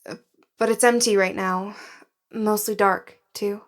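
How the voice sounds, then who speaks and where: An actress playing a frightened, awed woman explorer in an audio drama, describing her surroundings in hushed, halting phrases. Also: medium pitch, steady tone, lightly acted. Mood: awed, cautious. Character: frightened woman explorer guided over radio, awed and anxious.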